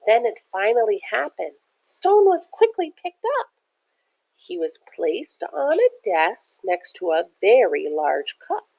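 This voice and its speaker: A woman's voice: medium pitch, sing-song, unhurried, gravelly, darkish tone, rough recording. A woman reading a picture book aloud like a teacher, with chatty, encouraging asides that explain things simply to young listeners. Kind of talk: animated children's read-aloud with chatty asides.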